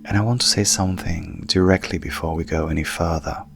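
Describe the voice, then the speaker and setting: A man speaking as a hypnotherapist in a calm, hushed, soothing manner, softly guiding a sleep meditation with long pauses. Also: low voice, gravelly, background noise. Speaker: coach and hypnotherapist guiding a session.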